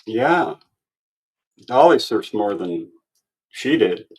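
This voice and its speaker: A man's voice: on the low side, sing-song, drawn-out words, breathy edge. Laid-back man in his sixties. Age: 60s. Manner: laid-back, short replies, casual swearing. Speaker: livestream host. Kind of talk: casual chat.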